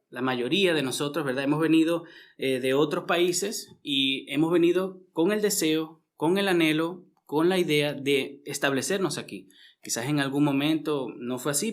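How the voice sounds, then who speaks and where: A man from Venezuela with a Venezuelan accent, preaching a conversational sermon to his congregation. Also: a little high. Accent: Venezuelan Spanish. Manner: conversational, frequent tag questions and restarts.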